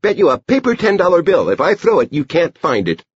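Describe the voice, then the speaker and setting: A man acts out a scheming little girl from an audiobook story, eager and bossy. The voice jumps high and cajoles insistently, on a slightly muffled recording. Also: huge pitch swings, a little fast, husky, dry recording, heavily acted.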